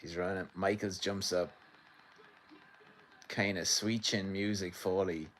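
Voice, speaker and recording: A man's voice: low voice, steady tone, takes it slowly, fairly bright tone, slight background noise. An Irish man from the midlands hosting a rambling live stream from his sitting room, commenting on a match and chatting to viewers. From Ireland. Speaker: livestream host. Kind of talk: casual live commentary and chat.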